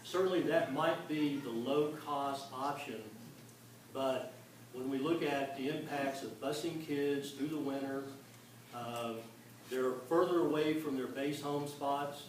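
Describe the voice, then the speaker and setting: A man, a political candidate, answering questions at a town forum in a measured, explanatory way. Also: medium pitch, fairly even intonation, a little hiss or hum. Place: community meeting hall. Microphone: distant microphone. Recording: echoey hall from far off.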